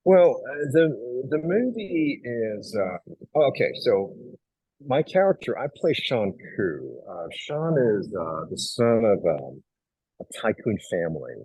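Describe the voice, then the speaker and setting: A man's voice: mid-range voice, takes it slowly, slightly rough, dry recording, low-quality recording. A middle-aged man from Hong Kong, an actor and writer, telling stories in a casual interview with lots of fillers and restarts. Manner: animated storyteller, many fillers and restarts.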